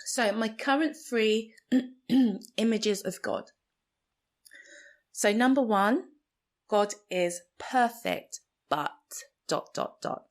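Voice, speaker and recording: A woman from Nigeria whose first language is Igbo, hosting a solo podcast and thinking aloud slowly and carefully. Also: a little high. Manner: slow, thoughtful, searching for words. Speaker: podcast host. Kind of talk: reflective solo podcast monologue.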